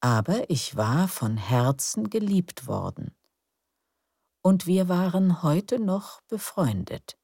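A woman narrating a calm bedtime story in a slow, soft, soothing way. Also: fairly low pitch, husky, dry recording.

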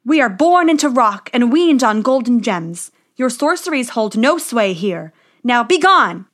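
A woman voicing a band of tough male dwarves, calling out loud and defiant. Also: fairly high pitch, big pitch swings, unhurried, full character voice.

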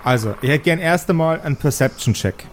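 A man's voice: a little high, animated, fairly quick, a little hiss or hum. A man acting as game master, narrating an improvised tabletop role-playing game with dramatic storytelling between casual rules talk.

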